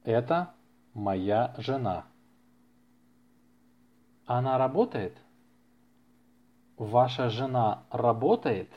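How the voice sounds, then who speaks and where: A man's voice: medium pitch, animated, extremely slow, long pauses. A man hosting a language-lesson podcast, reading practice dialogues with careful enunciation and repeating phrases for learners. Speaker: language teacher and podcast host. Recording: slightly muffled lo-fi podcast recording.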